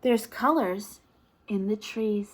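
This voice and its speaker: A woman's voice: mid-range voice, slow pace, slight background noise. A woman teacher reading a picture book aloud to toddlers, asking encouraging questions in a sing-song, exaggerated way.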